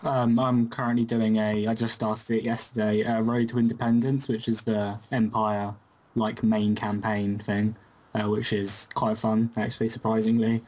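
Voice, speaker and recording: A man's voice: on the low side, level tone, normal speed, a little mellow, poor audio. A man on a casual gaming podcast, speaking in a dry, understated way. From England. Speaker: podcast guest. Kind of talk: casual group chat.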